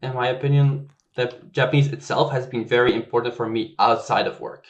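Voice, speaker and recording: A man from Belgium, a software engineer guest on a podcast, telling stories in a relaxed, chatty way with lots of "like.". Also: mid-range voice, a little fast, muffled recording. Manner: relaxed, chatty, heavy use of "like". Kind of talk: casual conversational interview.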